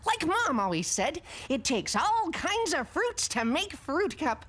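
An actress playing an irritable, hot-tempered woman in an animated comedy, with a crabby, complaining, emphatic delivery. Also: high voice, a little slow, full character voice. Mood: defensive, curt. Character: irritable, hot-tempered woman griping over her newspaper.

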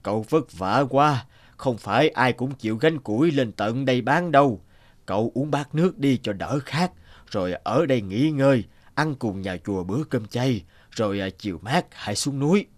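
A man playing a kindly old Buddhist head monk in a cartoon folk tale, gentle and unhurried. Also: mid-range voice, lively intonation, close to an everyday voice.

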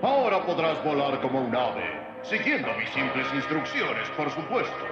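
A man's voice: mid-range voice, very animated, normal speed. A man voicing a bossy, mocking talking spell book in Latin American Spanish for a cartoon, chanting taunting rhymes. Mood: commanding. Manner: speaks in taunting sing-song rhymes. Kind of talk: cartoon dub acting. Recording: cavernous echo, distant, dull sound, music behind.

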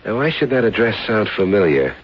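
A man's voice: lowish voice, sing-song, fairly quick, breathy edge, muffled recording, exaggerated voice. An actor playing a weary police detective called out on his night off, in a 1970s radio drama.

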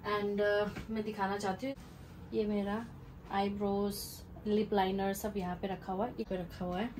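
Chatty woman vlogger, distant mic. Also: mid-range voice. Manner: informal Hindi mixed with English words and phrases. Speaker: vlogger giving a house tour. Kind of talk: casual house-tour vlog, chatty. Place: indoors in small rooms at home. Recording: distant mic with faint room noise.

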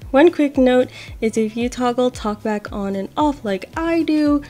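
A woman's voice: sits high, expressive, measured pace, warm tone. A young adult woman hosting a tech tutorial, explaining steps casually. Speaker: host of accessibility tutorial videos. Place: small home office, indoors.

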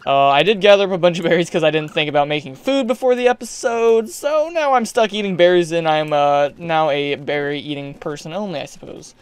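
High, smooth-voiced young gaming streamer. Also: male voice, brisk, hardly any pauses, dry recording. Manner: chatty, excitable, yells at creatures. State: hoarse, losing his voice. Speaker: gaming YouTuber. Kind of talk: casual gameplay commentary.

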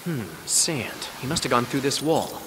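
An actor playing a young wisecracking superhero with an American accent, sarcastic and quippy, in a video game. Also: male voice, medium pitch, moderate pace, very noisy, lightly acted. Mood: wry quipping. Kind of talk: video game character acting. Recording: loud music behind the voice.

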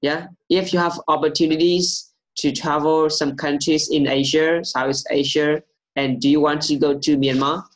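A young man teaching English on a video call, repeating questions slowly to coax answers from his student. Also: a little high, flat delivery, low-quality recording. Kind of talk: online English lesson over video call.

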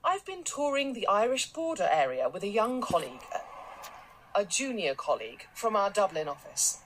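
An actress plays a self-assured, domineering businesswoman. Her mid-pitched voice swings expressively as she commands and talks down in management jargon. It is naturalistic radio drama with slight background noise. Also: big pitch swings, normal speed, natural voice, rough recording. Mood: pompous, dictating. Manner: commanding, condescending, jargon-heavy. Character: brisk, domineering, self-assured wealthy female business boss.

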